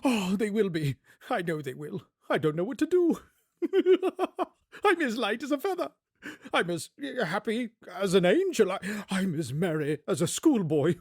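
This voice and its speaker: Man doing a wild old miser, shrill and swooping, laughs and cries out, for a storybook reading. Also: animated, moderate pace, no echo, studio quality. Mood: giddy. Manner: pleading then laughing, shouting, breathless giddiness. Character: old male miser turned giddy and repentant.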